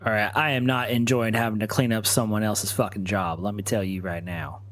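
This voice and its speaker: A man's voice: on the low side, steady tone, brisk, a bit bright. A man playing a tabletop game, bantering in character in a blunt, protesting way.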